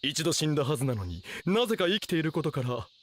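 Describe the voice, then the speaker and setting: A delusional young mad scientist in an anime radio drama. His voice sits high for a male and swings widely. He is pompous and scoffing, declaiming everything with theatrical self-importance. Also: very animated, fast. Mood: grandiose.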